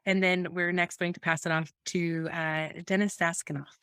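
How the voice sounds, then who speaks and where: A woman hosting an online webinar, with a slightly low voice. She talks in a light, easygoing way. The recording is dry. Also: level tone, moderate pace, fairly bright tone, smooth, no room sound. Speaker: webinar host. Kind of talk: moderating a webinar.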